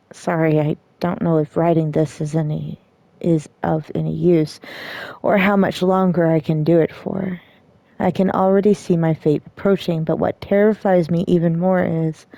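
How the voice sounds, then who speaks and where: A woman giving casual gameplay commentary and reading game text aloud, with soft-spoken asides. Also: fairly low pitch, creaky, no room sound. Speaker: streamer playing a story game.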